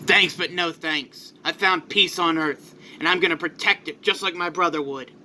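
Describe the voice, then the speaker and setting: Guy doing loyal warrior bro, solemn n earnest, high bright voice, anime story. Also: steady tone, moderate pace, a little hiss or hum, rough recording. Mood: resolute. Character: resolute, loyal male warrior brother turned protector.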